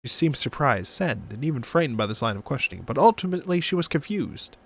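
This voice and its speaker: A man's voice: mid-range voice, sing-song, fast talker. A man streaming, reading a visual novel aloud expressively with casual asides.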